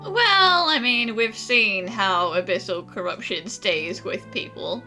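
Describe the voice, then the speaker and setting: A woman's voice: mid-range voice, lively intonation, takes it slowly, over loud music, rough recording. A woman giving casual playthrough commentary on a game, with giggly, hesitant asides. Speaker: gamer playing and commenting.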